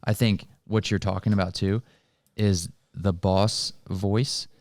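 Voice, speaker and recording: A male podcast host with a notably low voice talks in a casual, motivational way. He lays out his points like someone explaining to a friend. The recording is very dry and free of room sound. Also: little pitch movement, takes it slowly, darkish tone, no echo.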